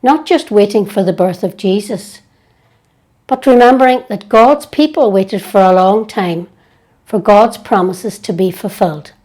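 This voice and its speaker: A woman leading a guided prayer meditation in a gentle, hushed, unhurried way with long pauses. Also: medium pitch.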